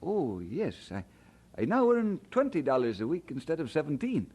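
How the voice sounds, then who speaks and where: A humble young man in an audio drama. His voice is husky and fairly high, with lively pitch. He speaks earnestly and politely, with some modest hesitation. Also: moderate pace, exaggerated voice. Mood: chatty. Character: young Scottish immigrant man, humble, earnest, would-be minister.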